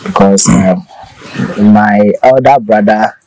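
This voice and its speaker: A man's voice: on the low side, slow, darkish tone, dry recording, poor audio. A man in his thirties from Ghana, a farmer telling his story in a casual interview, easygoing and restarting often, recorded outdoors under a thatched shelter on a lapel mic.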